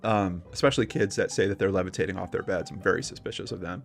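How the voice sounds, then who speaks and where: A man's voice: deep voice, fairly even intonation, fast, gravelly, soft background music. Casual man co-hosting a talk show. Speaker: podcast co-host. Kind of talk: casual paranormal discussion show with storytelling.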